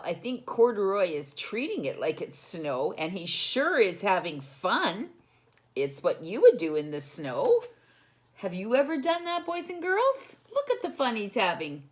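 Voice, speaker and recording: Animated, unhurried woman reading to children. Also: mid-range voice. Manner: expressive, simple explanations, addresses children directly. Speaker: storytime host reading to children. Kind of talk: read-aloud of a children's picture book with questions to young listeners.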